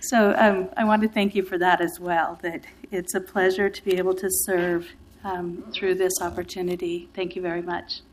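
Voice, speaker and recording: A woman community advocate giving earnest, grateful testimony to city commissioners, with a sore throat, pausing often. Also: lowish voice, flat delivery, a little hiss or hum. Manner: grateful, earnest, speaks with pauses and fillers. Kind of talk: public testimony at a city meeting.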